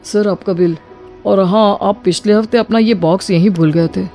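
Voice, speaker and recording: A woman voices a restaurant waiter in a cartoon moral story. He is polite and plain-spoken, with a mellow, relaxed tone over music. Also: mid-range voice, moderate pace, close to an everyday voice. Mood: polite, matter-of-fact. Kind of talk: animated Hindi moral story dialogue. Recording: music behind the voice.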